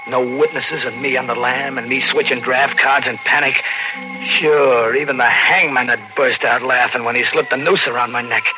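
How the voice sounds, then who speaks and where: A man's voice: mid-range voice, natural voice. Dull vintage recording, dramatic music, man playing cornered American fugitive, reluctant, cynical, terse radio drama delivery. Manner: terse, hard-boiled, sardonic. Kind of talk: 1940s radio noir acting and narration.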